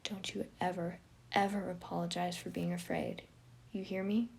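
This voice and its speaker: A woman voicing a caring young man who soothes a frightened friend in a soft, gentle, husky voice. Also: lowish voice, flat delivery, moderate pace.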